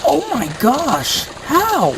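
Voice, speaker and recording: Woman acting meek, lively little creature guy. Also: high voice, very slow, heavily acted. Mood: concerned. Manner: gentle, earnest. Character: meek, friendly, picked-on male Zoombini, small creature. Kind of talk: fan audio drama character acting. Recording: clear background noise.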